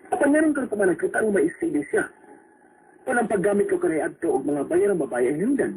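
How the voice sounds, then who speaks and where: A man's voice: a little high, a little mellow. A man with a Cebuano Filipino accent giving a dramatized reading of a letter seeking advice on radio. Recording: echoey, noisy radio recording.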